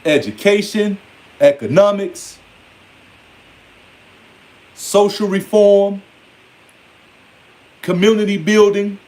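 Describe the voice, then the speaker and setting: American male, 40s, high-pitched voice, streetwise and emphatic, off-the-cuff monologue. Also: takes it slowly, pauses often, a little mellow. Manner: streetwise, emphatic, rambling, often swearing and quoting others. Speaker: online speaker. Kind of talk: informal spoken monologue to followers.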